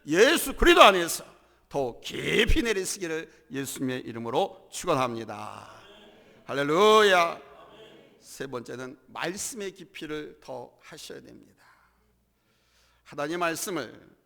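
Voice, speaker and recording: Hoarse, gravelly male pastor preaching. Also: on the high side, pushed and bright. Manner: emphatic preaching with swells in volume and calls to the congregation. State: hoarse from a cold. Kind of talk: sermon. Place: church worship hall. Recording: church hall reverb.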